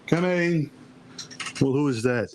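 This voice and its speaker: Woman acting low-voiced, suspicious tough guy. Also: expressive, slow, noisy background, full character voice. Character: suspicious, tough male gangster associate. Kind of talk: 1930s radio acting.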